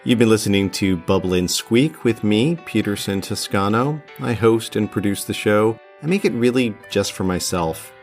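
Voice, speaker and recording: Low-voiced man hosting a podcast. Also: moderate pace, hardly any pauses. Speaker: podcast host and producer. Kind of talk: relaxed podcast narration and storytelling. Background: music.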